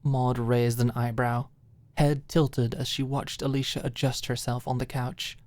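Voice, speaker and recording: A woman's voice: very deep voice, little pitch movement, measured pace, a little mellow, no room sound. A woman reading scripted story narration as the narrator of an ASMR audiobook.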